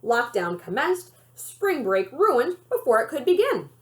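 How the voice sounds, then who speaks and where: A woman reading a children's novel aloud in an expressive storytelling style. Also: high voice, a little slow. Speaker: library read-aloud reader.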